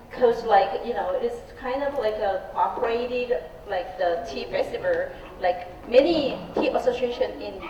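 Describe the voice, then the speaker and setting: Event host, woman, chatty and filler-heavy, higher voice, distant mic in a roomy hall. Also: a little mellow, a little hiss or hum. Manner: chatty, filler-heavy, keeps checking with "okay?". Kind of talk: casual live event hosting. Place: large exhibition hall.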